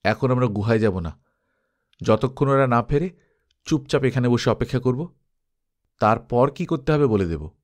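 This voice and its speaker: A man's voice: mid-range voice, lively intonation, normal speed, pauses often, lightly acted. A man voicing a commanding adventurer who gives urgent orders to his group in an audiobook story. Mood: calm, decisive.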